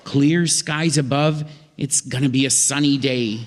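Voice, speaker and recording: A man narrating a scripted comic story on stage in an old-time radio show, deliberately and with dramatic pauses. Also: medium pitch, steady tone. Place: live theatre stage. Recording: theatre hall reverb, faint noise.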